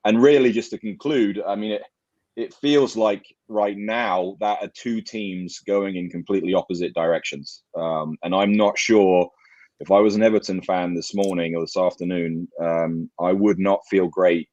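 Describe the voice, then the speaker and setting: A man giving casual football analysis as a podcast panellist, measured and deliberate in how he talks. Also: low voice, steady tone, a little mellow, no room sound. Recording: dry, dull lo-fi microphone sound.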